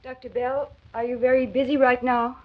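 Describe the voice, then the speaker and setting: A woman's voice: sits high, flat delivery, normal speed, soft background music, natural voice. An actress playing an earnest, caring, slightly hesitant female assistant in a 1940s radio drama. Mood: worried. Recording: muffled old 1940s radio recording.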